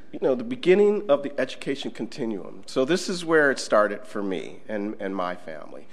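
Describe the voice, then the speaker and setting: Middle-aged guy talking at a conference, laid-back, lots of ums, table mic. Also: medium pitch. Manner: relaxed, conversational, with fillers. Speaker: conference speaker. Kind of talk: conference presentation. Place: conference room.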